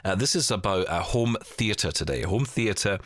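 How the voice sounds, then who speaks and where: A man hosting a tech podcast, chatting casually and telling stories with quick asides. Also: on the low side, expressive. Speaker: podcast host. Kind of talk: casual chat.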